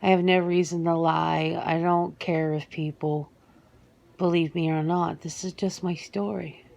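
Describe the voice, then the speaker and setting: A woman playing a guarded, earnest werewolf answering interview questions in an ASMR roleplay, speaking haltingly and matter-of-factly. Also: lowish voice, little pitch movement, creaky, darkish tone, highs cut off. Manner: hesitant, matter-of-fact, halting with pauses.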